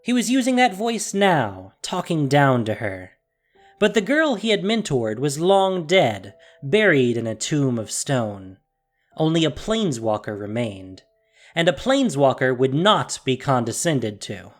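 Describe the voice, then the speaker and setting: A woman's voice: deep voice, sing-song, unhurried. A woman narrating a fantasy story in a solemn, emphatic, dramatic scripted style. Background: music.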